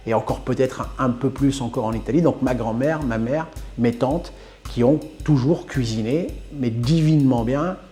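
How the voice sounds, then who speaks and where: Interviewee, male, 60s, from northern France, mellow voice, reflective and halting. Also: medium pitch. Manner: thoughtful, repeats words while searching for them.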